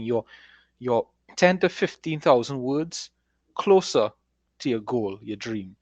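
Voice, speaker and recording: A man's voice: mid-range voice, no echo, highs cut off. A man co-hosting a casual podcast, explaining things in an encouraging way. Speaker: podcast co-host and author.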